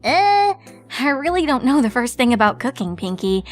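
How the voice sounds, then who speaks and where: A woman voicing a bookish, earnest unicorn mare in a fan story reading, measured and slightly prim. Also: high-pitched, very animated, faint music behind, pitched above the performer's own voice.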